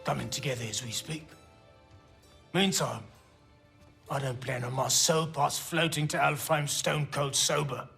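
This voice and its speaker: A man's voice: mid-range voice, fairly even intonation, unhurried, creaky, pauses often, fairly bright tone, soft background music. A man playing a hard-drinking, sardonic dwarf resistance leader in a video game, wry and wordy. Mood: wry, defiant. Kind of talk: video game cutscene acting.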